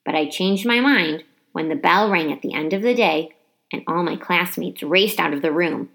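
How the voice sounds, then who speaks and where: A woman reading a children's chapter book aloud as expressive storybook narration, with dramatic emphasis on key words. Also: on the low side, moderate pace, vocal fry.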